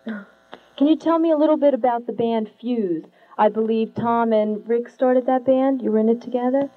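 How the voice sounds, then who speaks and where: A woman interviewing a rock band, eager and quick to laugh. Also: a little high. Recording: tinny phone-like lo-fi audio with hum.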